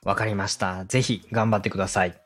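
A man's voice: lowish voice, level tone, quick pace, a bit bright. A man hosting a podcast, giving polite, methodical, explanatory advice in a structured way. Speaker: podcast host, radio director coaching a co-host.

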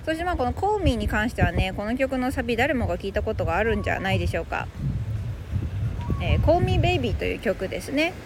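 Lively high-voiced woman teaching, noisy background. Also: quick pace. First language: Japanese. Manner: explanatory, addresses listeners directly. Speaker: host of an English phrase lesson podcast. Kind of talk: conversational scripted lesson.

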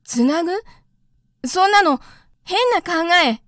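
Woman doing a little storybook prince kid, high voice, whimsical and nosy, off in his own world. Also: expressive, slow pace, a bit bright, no echo, clean studio sound, heavily acted. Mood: curious, puzzled. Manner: curious, carefree, self-absorbed. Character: whimsical little boy prince from another star.

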